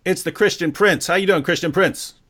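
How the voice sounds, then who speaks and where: A man's voice: high voice, fairly quick. Upbeat man hosting a live stream. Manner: jokey, energetic, conversational. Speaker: host.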